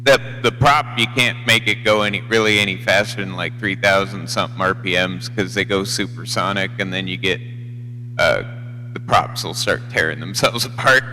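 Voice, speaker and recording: A man's voice: deep voice, moderate pace, bright, forceful tone, faint music behind. An American man chatting casually on a CB radio in a rambling, unpolished way. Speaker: CB radio operator. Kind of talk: casual chat. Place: live CB radio feed. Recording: noisy hum, long hollow reverb.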